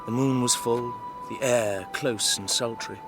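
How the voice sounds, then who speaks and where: A man's voice: mid-range voice, steady tone, measured pace, music in the background, close to an everyday voice. A man with an English accent playing a courteous, earnest young drawing teacher of 1849, narrating and speaking formally in a literary audio drama. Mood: brooding, intrigued. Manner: well-spoken, formal. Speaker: narrator and lead actor.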